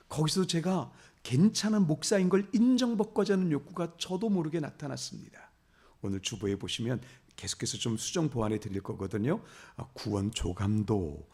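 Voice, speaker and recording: Husky, gravelly middle-aged male pastor with a slightly high voice, preaching a confessional sermon that builds to emphatic blessings. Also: darkish tone.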